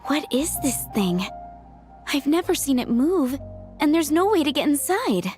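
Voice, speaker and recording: A woman's voice: sits high, very animated, unhurried, darkish tone, soft background music. A woman playing a curious, baffled young townsperson in a video game. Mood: puzzled.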